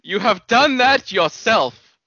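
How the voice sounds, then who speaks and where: A woman's voice: on the high side, animated, slow pace, a bit bright, dry recording, muffled recording, exaggerated voice. A woman playing a stern, grieving male warrior mentor in an amateur drama, solemn and theatrical.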